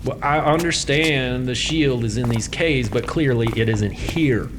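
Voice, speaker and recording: An actor playing a young war chief in a fantasy audio drama, wary and tactful, with hesitant, folksy politeness. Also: male voice, medium pitch, unhurried, noisy background, natural voice. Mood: weary, polite.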